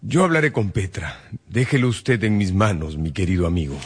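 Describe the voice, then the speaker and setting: An actor playing a suave, ardent gentleman seducer in a radio drama, speaking earnestly. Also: low-pitched, sing-song, fairly quick, husky, no room sound, full character voice. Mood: suave reassuring.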